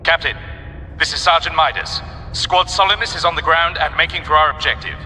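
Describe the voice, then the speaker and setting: A clipped, obedient male squad sergeant for a game. His raised, piercing voice reports briskly over a reverberant, slightly noisy radio call. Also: fairly high pitch, sharp tone. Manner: clipped military radio talk. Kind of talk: video game cinematic acting.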